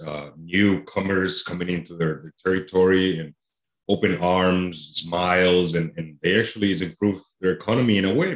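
A man's voice: low voice, roomy sound, rough recording. A man from Colombia with a Colombian accent, a youth soccer trainer chatting as a guest, loose and full of "you know". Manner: conversational, many fillers like "you know". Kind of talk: casual interview chat.

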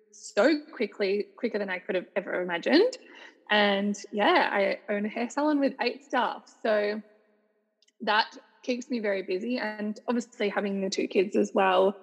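An Australian woman in her thirties, a salon owner chatting as a podcast guest, telling her story in a lively, laughing way. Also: medium pitch, vocal fry, highs cut off. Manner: chatty, laughs often, quick restarts. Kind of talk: casual podcast interview.

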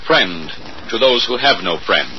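A man's voice: lowish voice, animated, measured pace. Vintage male radio announcer, very noisy. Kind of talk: 1940s radio announcing, scripted. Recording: muffled, very noisy old radio recording.

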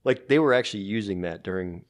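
A man's voice: on the low side, animated, fast talker. A man chatting casually on a podcast, making easygoing, laid-back remarks. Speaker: jiu-jitsu competitor.

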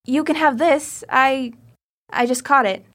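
A woman's voice: high voice, a little slow, fairly bright tone. A woman playing an earnest teenage girl who pleads for help in an audio drama. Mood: pleading.

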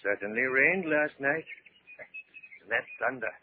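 A man's voice: medium pitch, measured pace, natural voice. An actor playing a chatty, genial, neighbourly village man in a 1940s radio drama. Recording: narrow-band noisy 1940s radio recording.

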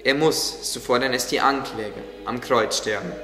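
A man playing a calm, caring gardener in a radio play, speaking with solemn, reassuring patience. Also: medium pitch, normal speed, lightly acted. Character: calm, caring male gardener, gently guiding. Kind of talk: German religious audio drama acting. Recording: echoey hall with music underneath.

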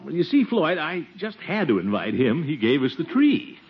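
A man playing a pompous, blustering but soft-hearted middle-aged uncle in a 1940s radio comedy, with a chuckling, swooping delivery. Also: a little high, big pitch swings, normal speed. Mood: flustered. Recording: muffled, noisy 1940s radio recording.

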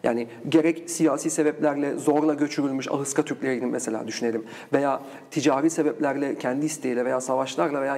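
A man's voice: medium pitch, darkish tone. A man speaking as an interview guest and researcher, explaining in a discursive academic way with rhetorical questions.

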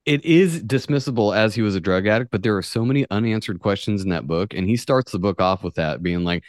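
A man's voice: on the low side, expressive, fast talker, dry recording, studio quality. A man co-hosting a podcast, chatting casually in a blunt way with some swearing. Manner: blunt, profane. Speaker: podcast co-host. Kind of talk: casual chat.

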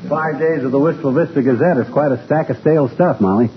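An actor playing a folksy, wisecracking middle-aged husband in 1940s radio comedy, on a muffled, noisy old recording. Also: male voice, medium pitch, fast talker, close to an everyday voice. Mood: amused, chatty.

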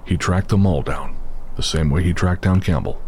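A man giving scripted, measured narration for a horror audio drama, with a music score playing behind him. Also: deep voice, darkish tone, a little hiss or hum.

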